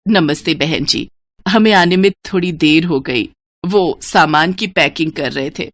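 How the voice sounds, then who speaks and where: Woman acting middle-aged Bihari mother, caring, gentle, reassuring, family moral tale. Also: low voice, a little slow, no echo. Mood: courteous.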